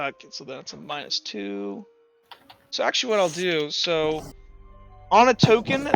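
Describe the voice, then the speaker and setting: A man's voice: high-pitched, creaky, a bit bright, highs cut off. A man casually narrating a tabletop roleplaying game as game master, thinking aloud and correcting himself as he goes.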